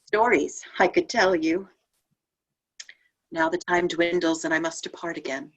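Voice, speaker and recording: A woman playing a saintly martyred spirit in a drama read, speaking in lofty, measured lines, tender yet wry. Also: fairly low pitch, poor audio. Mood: wry, bitter. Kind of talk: poetic dramatic table read. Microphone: video call.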